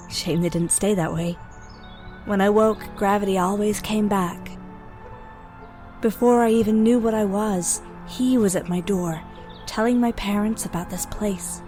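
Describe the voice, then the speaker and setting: Slow-spoken, uneasy teenage girl, acted by a woman. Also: mid-range voice, warm tone, natural voice. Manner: hesitant, reflective. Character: nervous teenage girl newly arrived at a mutant school. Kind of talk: audio drama acting.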